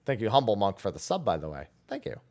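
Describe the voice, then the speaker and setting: A man's voice: fairly low pitch, huge pitch swings, fast, darkish tone. A man streaming a game with casual, blunt and sweary commentary. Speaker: streamer playing a story game. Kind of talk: casual game commentary, reading dialogue aloud.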